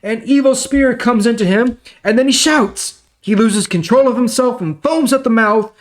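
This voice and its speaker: A man's voice: very high voice, moderate pace, pitched above the performer's own voice. A man voicing a desperate, pleading father in a dramatized Bible reading. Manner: pleading, emphatic. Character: desperate father pleading for his afflicted only son.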